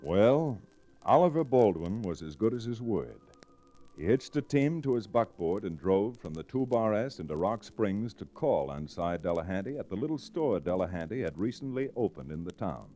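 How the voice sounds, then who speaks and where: A man's voice: on the low side, big pitch swings, warm tone. An actor playing an easygoing American frontier lawyer who narrates his tale in a genial, folksy, old-time radio manner. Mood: genial, wry. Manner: folksy, unhurried storytelling. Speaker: lead character and narrator. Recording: restored vintage radio recording.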